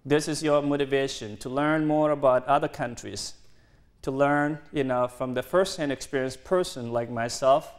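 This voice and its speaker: A young man in his twenties, originally from South Sudan with Dinka as his first language, addresses a university audience. He speaks earnestly in careful, halting English, often restarting his sentences. His voice sits at an ordinary middle pitch. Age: 20s. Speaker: guest speaker. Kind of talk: university lecture.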